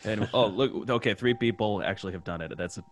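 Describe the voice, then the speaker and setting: A man's voice: on the low side, fairly quick, soft background music. A man co-hosting a live show in casual, joking banter, with a wry, mock-pleading manner. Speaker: podcast cast member and co-host.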